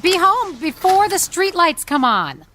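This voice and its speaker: Lively, reassuring mom. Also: female voice, extremely high pitch, a little slow, heavily acted. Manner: reassuring, lightly teasing. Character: gentle, supportive mother of a young boy. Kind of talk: audio drama acting.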